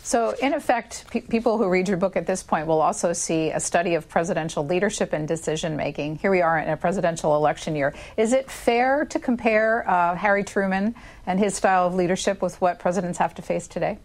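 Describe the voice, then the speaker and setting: Lower-pitched American woman interviewing. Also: fairly quick. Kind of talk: measured broadcast interview questions.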